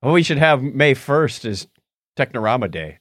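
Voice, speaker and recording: Animated male podcast host, lively pitch. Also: normal speed, dry recording, clean studio sound. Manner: jokey, animated. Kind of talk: casual banter and reading aloud on a podcast.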